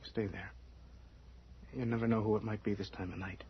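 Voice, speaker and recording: A man's voice: on the low side, steady tone, normal speed, a little hiss or hum, lightly acted. An actor playing a tense, irritable novelist and overbearing husband in an old-time radio drama, agitated and hesitant in his speech. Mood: alarmed, commanding. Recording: dull lo-fi radio drama recording.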